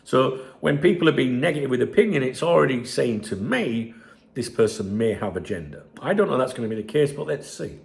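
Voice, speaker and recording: A man, croaky from a chest infection, giving sweary and sarcastic casual commentary on a news article as a football channel host. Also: mid-range voice, lively intonation, fairly quick.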